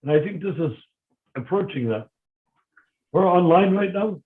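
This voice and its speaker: A man's voice: sits high, animated, moderate pace. An older man in a casual discussion, speaking emphatically and often repeating words as he starts sentences, heard over a video call. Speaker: discussion guest. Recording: tinny phone-like line.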